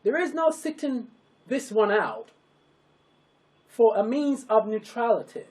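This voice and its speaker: A narrator reads a formal public address very slowly. The pitch moves widely from phrase to phrase. The delivery is solemn and emphatic, with sentences broken into short, weighty pieces. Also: lively intonation, a little mellow. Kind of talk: scripted, declamatory public address.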